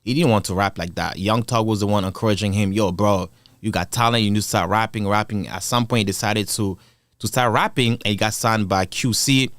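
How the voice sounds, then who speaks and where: A man's voice: on the low side, brisk, a bit bright, dry recording. A man with an African accent hosting a casual commentary channel, reacting to clips and thinking aloud with many hesitations.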